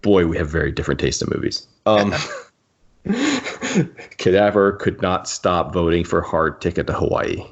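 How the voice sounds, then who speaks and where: Male podcast host, low-pitched, wry and rambling, slightly dull lo-fi sound. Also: moderate pace. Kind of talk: casual podcast discussion. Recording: dull, lo-fi audio.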